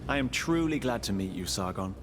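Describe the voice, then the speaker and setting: A male actor playing a gracious, thoughtful young prince in a video game, with a courteous, measured formality. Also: on the low side, expressive, noisy background, natural voice. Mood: gracious, sincere. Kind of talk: dramatic video game acting. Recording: music behind the voice.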